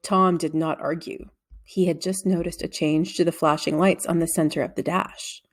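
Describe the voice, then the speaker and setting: A woman narrating a children's novel aloud in an expressive storytelling way. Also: deep voice, moderate pace. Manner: expressive storytelling with acted dialogue.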